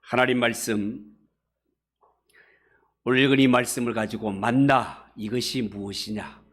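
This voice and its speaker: A man's voice: medium pitch, steady tone, a little slow. Korean-speaking male pastor preaching emphatically. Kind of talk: expository sermon to a congregation. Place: church service.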